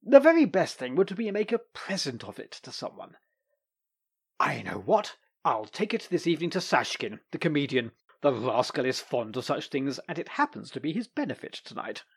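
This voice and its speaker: A man voicing an excitable middle-aged male lawyer in a storybook reading, with gushing, squealing exclamations and a husky edge. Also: fairly high pitch, normal speed, exaggerated voice. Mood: musing.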